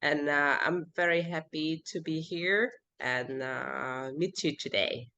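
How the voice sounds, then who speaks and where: A woman with a Vietnamese accent speaking English as a second language, answering interview questions haltingly and searching for words. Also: deep voice, sharp tone. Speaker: interview guest. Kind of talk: casual interview.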